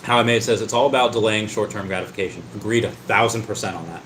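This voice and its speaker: A man's voice: on the low side, fairly even intonation, fast, fairly bright tone, a little hiss or hum. A man hosting a casual livestream, reading out chat comments and rambling, often stammering and repeating his words. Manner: rambling, talkative. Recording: roomy echo.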